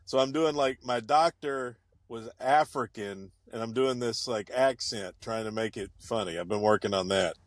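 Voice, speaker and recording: Brief: male co-host and budding comic, laid-back and hesitant, mid-range pitch, dry sound. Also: normal speed, few pauses, no echo. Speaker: podcast co-host and aspiring stand-up comic. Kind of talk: casual banter. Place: in his car.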